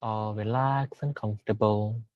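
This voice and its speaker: A man leading a guided meditation as a teacher, speaking gently and unhurriedly with long pauses. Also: on the low side, fairly even intonation, no echo, muffled recording.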